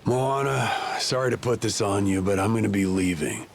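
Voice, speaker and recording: An actor playing a gruff, weary, self-deprecating father who runs a bar, in a video game. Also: fairly low pitch, moderate pace, slight background noise. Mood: hesitant. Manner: weary, sighing, reluctant.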